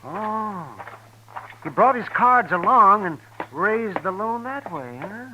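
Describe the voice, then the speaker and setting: High-pitched, folksy frontier doctor. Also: male voice, lively intonation, takes it slowly, lo-fi sound, a little hiss or hum. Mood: surprised. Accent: American. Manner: rambling, hesitant, folksy. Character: folksy, evasive frontier town doctor, excitable and chuckling. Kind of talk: 1950s radio western character acting. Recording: vintage 1950s radio recording.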